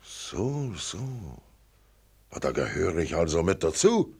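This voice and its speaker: An actor playing a gruff, lonely, irascible magnate in a radio drama, with a gravelly voice and blunt manner. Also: male voice, low-pitched, huge pitch swings, a little mellow, full character voice. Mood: moved, subdued. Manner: blunt, incredulous, drawn-out words. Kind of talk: German radio drama acting.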